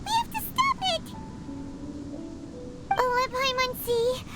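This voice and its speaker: A woman playing a tiny, excitable, childlike fairy companion in a video game, with a squeaky, exclamatory voice. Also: takes it slowly, full character voice. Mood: alarmed, indignant. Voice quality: squeaky, shrill. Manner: exclamatory, speaks of herself in third person. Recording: loud music behind the voice.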